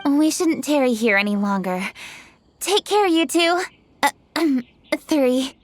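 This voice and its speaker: A crisp, composed young official. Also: female voice, high voice, animated, slow pace. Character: dutiful young woman official, brisk, composed.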